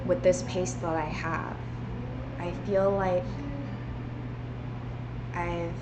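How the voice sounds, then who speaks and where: A woman's voice: fairly low pitch, level tone, extremely slow, background noise. A young woman livestreaming from home, talking earnestly and rambling with many restarts. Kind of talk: reflective livestream monologue. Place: home room.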